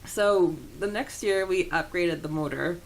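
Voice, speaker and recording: A woman with a voice a little on the low side speaks as a podcast host. She tells personal stories in a casual, informal way, slipping into quoted dialogue as she goes. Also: measured pace, gravelly, poor audio.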